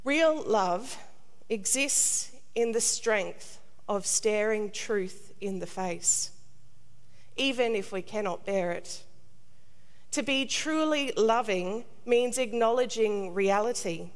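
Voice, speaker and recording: A middle-aged woman priest delivers a scripted sermon in a measured, solemn, deliberate way. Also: medium pitch. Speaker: priest, preacher. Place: large stone cathedral, indoors. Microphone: lectern gooseneck microphone. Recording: long cathedral reverb.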